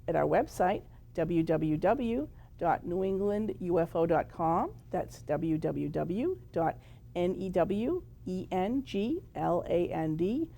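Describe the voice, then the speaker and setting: A woman's voice: medium pitch, vocal fry, darkish tone. A woman speaking as the host of an interview show, in a conversational style.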